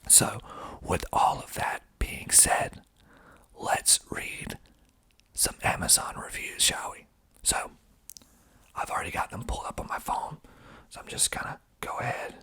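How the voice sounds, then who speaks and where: An American man from Georgia speaking in a breathy ASMR whisper, explaining things in a hesitant, unhurried way close to the microphone. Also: bright, forceful tone. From Georgia, United States. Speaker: host, filmmaker.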